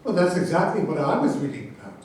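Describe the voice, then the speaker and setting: A man's voice: a little high, sing-song, fast talker, a little mellow, slight background noise. A man playing a touchy, self-important army general in a staged reading of a satirical play, argumentative and accusing. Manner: pompous, argumentative. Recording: very echoey room, distant mic.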